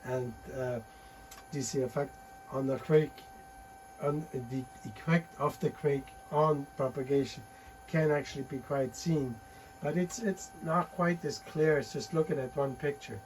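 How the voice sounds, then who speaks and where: A man giving a technical conference talk from his slides, halting often with uh and restarting words. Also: on the high side, fairly even intonation, a little mellow, slight background noise, poor audio. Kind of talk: technical presentation, reading from slides.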